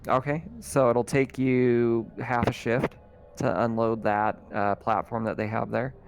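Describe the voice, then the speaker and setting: A man's voice: mid-range voice, little pitch movement, a little slow, vocal fry, a little hiss or hum. A man running a tabletop game, narrating in an informal, conversational way as game master.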